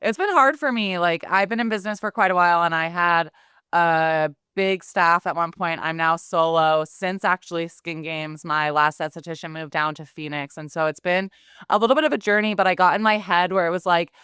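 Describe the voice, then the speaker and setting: A woman's voice: fairly low pitch, brisk, bright, forceful tone, smooth, no room sound, clean studio sound. A woman in her thirties who runs a skincare business, chatting casually on a podcast and acting out her own thoughts in little quotes.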